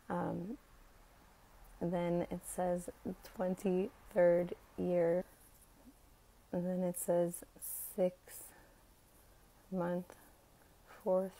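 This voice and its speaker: An American woman in her thirties with a raspy voice, speaking haltingly and casually in a devotional vlog. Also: fairly low pitch, dark tone, rough recording. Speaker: religious content creator. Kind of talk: casual devotional vlog about scripture numbers.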